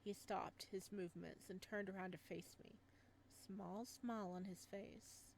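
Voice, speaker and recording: A woman's voice: medium pitch, fairly even intonation, a little slow, vocal fry, slight background noise. Woman narrating a visual novel. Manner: chatty asides while reading. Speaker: let's player. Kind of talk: reading a visual novel aloud with commentary. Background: game music.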